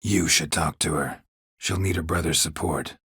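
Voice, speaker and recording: A gruff, world-weary mercenary monster slayer from a fantasy video game. His voice is very deep and heavily husky, almost all gravel. He speaks in terse, sardonic, deadpan phrases, recorded dry with no room sound. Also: moderate pace, no room sound, heavily acted. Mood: dry, firm. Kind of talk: video game character dialogue.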